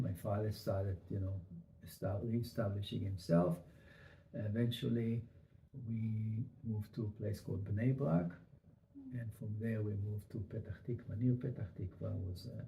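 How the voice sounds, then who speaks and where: An older man from Israel telling his family history in an oral history interview, halting and full of fillers and restarts. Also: on the low side, gravelly, mellow. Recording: echoey room, faint noise.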